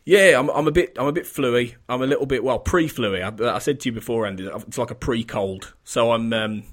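Sniffly podcast host. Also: male voice, medium pitch, brisk. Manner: rambling, self-correcting, chatty. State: sniffly with an oncoming cold. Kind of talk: casual podcast chat.

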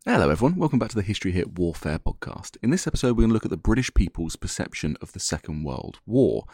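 A man opens a history podcast with a clear, scripted introduction. His voice is low and mellow. The sound is dry and close, with no room around it. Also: sing-song, quick pace, no echo. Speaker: podcast host.

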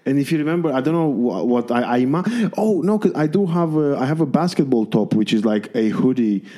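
A man's voice: mid-range voice, animated, brisk, mellow. A man from Romania, a podcast guest, talking casually and hesitantly, with many restarts. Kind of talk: casual chat.

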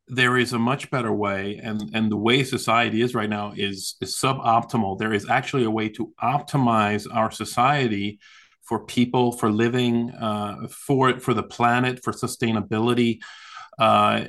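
A man explaining conversationally as an interview guest, with halting, hesitant phrasing. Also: medium pitch, fairly bright tone. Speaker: guest, author.

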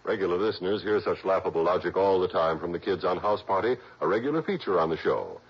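A muffled old radio recording with faint music behind a male announcer. His voice is fairly low with animated intonation, in the polished scripted manner of 1960s broadcasting. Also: quick pace, slightly rough.

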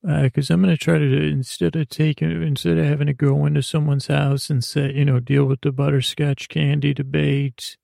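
A man hosting a sleep podcast in a creaky, lulling voice, meandering through a bedtime monologue with long pauses and halting trail-offs. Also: on the high side, little pitch movement, warm tone, no room sound, clean studio sound. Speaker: podcast host. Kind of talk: rambling bedtime monologue meant to lull listeners to sleep.

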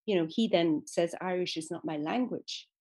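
A woman's voice: lowish voice, normal speed, warm tone. Thoughtful woman explaining with many fillers. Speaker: guest expert on literature. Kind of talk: conversational interview.

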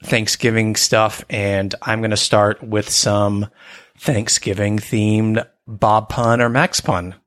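A man's voice: fairly low pitch, takes it slowly. Chill teasing guy cohost running a pun quiz. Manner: teasing, relaxed. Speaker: podcast co-host. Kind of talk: casual chat.

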